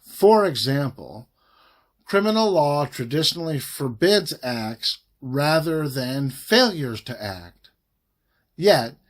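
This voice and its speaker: A man reading a formal legal document aloud in a measured, emphatic way, pausing phrase by phrase. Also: a little high. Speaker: reader of a government report.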